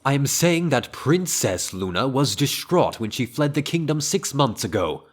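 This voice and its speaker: Man as a stern, animated pony chamberlain. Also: medium pitch, slightly rough. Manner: formal, measured diction, reproving. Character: stern, dignified senior royal chamberlain, a male pony. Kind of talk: fan-made radio drama acting.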